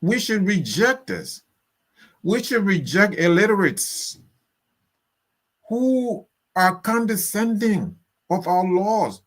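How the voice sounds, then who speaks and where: A fairly high-voiced man with a Liberian accent speaks slowly and deliberately over an online call. He sounds indignant and forceful, hammering his points home by repeating them. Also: lively intonation. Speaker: political commentator guest. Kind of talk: impassioned political commentary.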